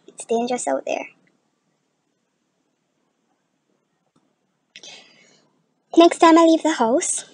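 Woman acting high-voiced, exasperated germ-averse complainer. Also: lightly acted, low-quality recording. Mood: wary warning. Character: exasperated woman disgusted by sick people's germs. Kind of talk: theatrical audition monologue.

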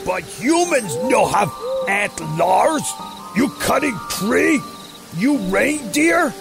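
A man's voice: very high-pitched, sing-song, takes it slowly, gravelly, music in the background, exaggerated voice. An actor playing a big, dim, childlike mutant brute who loves cooking and speaks in blunt, broken caveman grammar in an audio drama. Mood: wistful, childlike.

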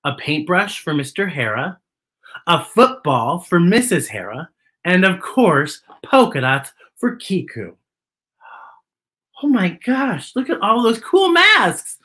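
Children's storytime host: American man, theatrical and exclamatory, very animated, bright tone. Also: high voice. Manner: theatrical, exclamatory, drawn-out emphasis. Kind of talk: children's storytime read-aloud.

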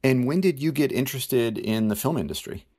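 A man hosting a podcast, reading a measured scripted introduction and then asking interview questions. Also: medium pitch, lively intonation, a little mellow. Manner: measured, announcer-like delivery. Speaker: podcast host.

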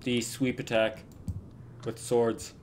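A young man chatting casually while gaming, talking in halting bits with plenty of fillers. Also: mid-range voice, flat delivery, slight background noise. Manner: hesitant, many fillers. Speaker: channel host gamer.

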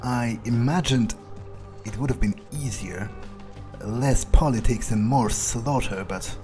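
A man with a mellow, relaxed voice at middle pitch narrates a game in character. He thinks aloud, aloof and often swearing, close to the mic over faint music. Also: slow pace. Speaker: gamer roleplaying his character. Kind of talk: in-character video game commentary, musing aloud.

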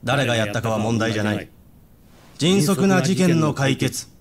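A man's voice: mid-range voice, animated, moderate pace, a loud crowd, natural voice. Man acting a cold, authoritative police boss. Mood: cold, firm. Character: cold, pragmatic senior police official. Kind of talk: dramatic video game cutscene acting.

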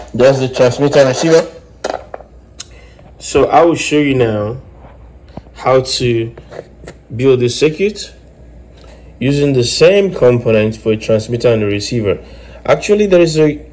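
A man explaining step by step in a halting way, as host of a hands-on electronics tutorial. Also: mid-range voice, a little mellow. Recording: clear background noise with clicks.

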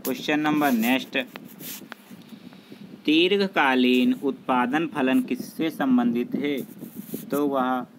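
A man tutors for an exam in a slow, methodical reading voice of medium pitch. He reads each question and then states the correct option in a repetitive pattern. It is a homemade recording with some noise behind him. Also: fairly even intonation. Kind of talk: scripted reading of multiple-choice exam questions with answers.

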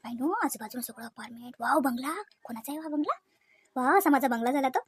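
Woman acting a shrill, snobbish cartoon sister-in-law. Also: quick pace, slightly rough. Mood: envious, muttering. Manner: affected, condescending, with snide muttered asides. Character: snobbish, boastful, envious visiting sister-in-law. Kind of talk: exaggerated cartoon comedy dialogue.

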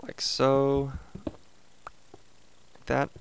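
Raspy, gravelly man chatting over gameplay. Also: medium pitch, drawn-out words, slight background noise, low-quality recording. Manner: relaxed, chatty, thinking aloud while playing. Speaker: let's play host. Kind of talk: casual gameplay commentary.

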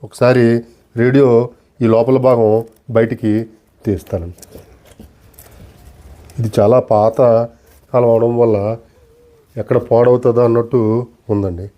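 A man giving an unscripted demonstration, explaining deliberately and politely in short, broken phrases. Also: medium pitch, fairly even intonation, dull audio. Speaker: antique radio collector and presenter.

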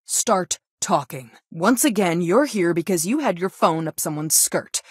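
Low-pitched stern school counselor. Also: female voice, lively intonation, husky, no echo, full character voice. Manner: formal, scolding, deliberate phrasing. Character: stern, reproachful female school guidance counselor. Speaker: video game voice actor. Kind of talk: scripted game character dialogue.

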